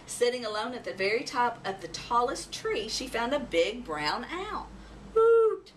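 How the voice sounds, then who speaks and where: A woman's voice: fairly high pitch, sing-song, normal speed, fairly bright tone. A woman reading a children's story aloud expressively, acting out animal sounds and voices. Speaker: storybook reader. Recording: noisy, distant microphone.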